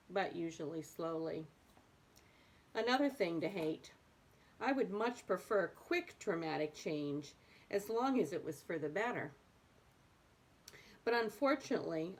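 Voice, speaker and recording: A woman reading a book aloud expressively, with emphatic phrasing. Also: fairly low pitch. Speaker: reader of a book aloud for adults.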